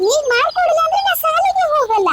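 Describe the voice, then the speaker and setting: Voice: very high-pitched, animated, fast, fairly bright tone, a little hiss or hum. A performer voicing a sulky, stubborn little cartoon schoolboy. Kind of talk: cartoon dialogue in colloquial village speech.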